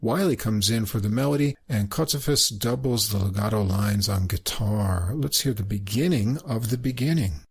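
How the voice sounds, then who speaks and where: A man with a gravelly, slightly husky voice hosts a music podcast. He reads out credits and walks through the tracks in an informative, even manner. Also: on the low side, moderate pace, few pauses. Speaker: podcast host. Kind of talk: reviewing music recordings.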